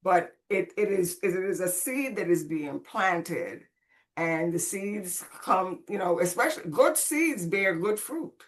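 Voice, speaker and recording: A woman's voice: fairly low pitch, lively intonation, takes it slowly. A woman from the Caribbean, raised speaking Spanish, telling her life story conversationally and expressively as a podcast guest. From Caribbean, born on Saint Croix, raised in Puerto Rico.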